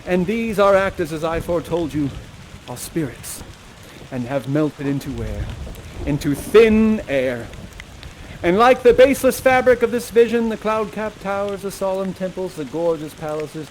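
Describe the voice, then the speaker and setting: A man's voice: on the high side, normal speed, slight background noise, natural voice, poor audio. A man playing a grand, playful sorcerer father who talks straight to the audience in a hammy, exuberant way. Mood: solemn. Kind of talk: interactive theatre performance.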